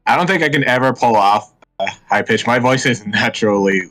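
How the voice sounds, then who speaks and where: A man on a commentary panel, speaking slowly and hesitantly in casual chat. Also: mid-range voice, fairly bright tone, soft background music. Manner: slow, hesitant.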